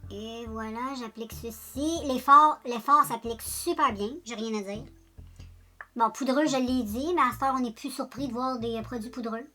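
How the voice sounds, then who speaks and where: A woman's voice: fairly high pitch, moderate pace, pushed and bright, soft background music. A woman with a Quebec French accent chatting casually through a makeup tutorial, rambling and joking at her own expense while speaking to her viewers. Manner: chatty, rambling, self-deprecating, talks directly to viewers. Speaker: beauty vlogger.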